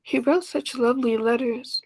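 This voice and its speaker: A woman's voice: on the high side, fairly even intonation, normal speed, a little mellow, breathy edge, low-quality recording. A woman playing a lonely, earnest schoolteacher in her thirties in a 1940s-style radio play, speaking politely and tenderly. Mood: apologetic, confessing.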